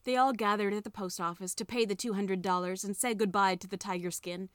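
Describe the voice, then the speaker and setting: A young adult woman reading a children's story aloud, narrating with lively dialogue. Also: mid-range voice, fairly quick, smooth, no echo, studio quality. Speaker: reader.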